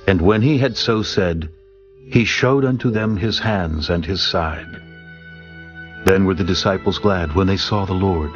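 A man narrating scripture in a solemn, steady reading. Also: sits low, a little slow, lo-fi sound. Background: soft music.

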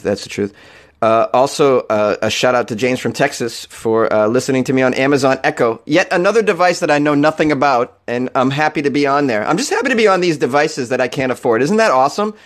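Man, young adult, podcast host, casual, joking, laughs a lot, mid-pitched. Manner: joking, laughs often, playful. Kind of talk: casual comedic monologue.

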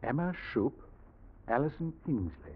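A suave, insinuating English schemer in a vintage radio drama. The voice is husky and mid-pitched, with theatrical, highly varied intonation. The recording is old and muffled. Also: male voice, sing-song, moderate pace. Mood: courting, smooth.

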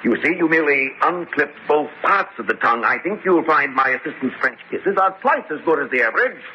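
High-pitched, grandiose villainous baron. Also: male voice, very animated, brisk. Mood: sinister, gloating. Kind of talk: comic horror radio drama acting. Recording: muffled radio recording with faint noise.

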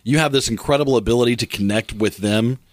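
A man's voice: medium pitch, fast talker, dry recording. A man in his late forties co-hosting a casual chat, earnest and encouraging, often restarting his sentences. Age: 40s. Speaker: co-host.

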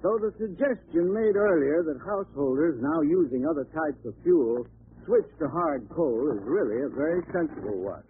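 Animated, higher-pitched vintage radio pitchman. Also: moderate pace, breathy edge. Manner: formal, authoritative, explanatory. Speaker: radio advertising spokesman. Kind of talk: scripted 1940s radio commercial read. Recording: narrow-band, faintly noisy old radio broadcast.

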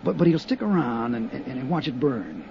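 An actor playing a steady, practical male investigator who talks in a measured, matter-of-fact way, in a muffled 1950s radio drama. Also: medium pitch, vocal fry. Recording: muffled 1950s radio broadcast with faint hiss.

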